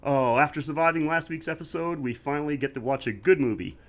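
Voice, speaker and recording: A man's voice: fairly high pitch, fast talker. A man co-hosting a casual podcast, talking at length with a congested, slightly scratchy voice. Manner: relaxed, talkative, reviews with prepared facts. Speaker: podcast co-host.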